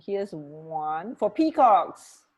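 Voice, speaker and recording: Woman, slightly low pitch, radio co-host, casual conversation, musing short reactions. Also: sing-song, drawn-out words, husky, lo-fi sound. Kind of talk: casual chat, trading fun facts.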